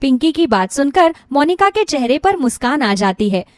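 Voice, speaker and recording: High-pitched, animated woman storyteller. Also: moderate pace, no room sound, studio quality. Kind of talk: expressive scripted story narration.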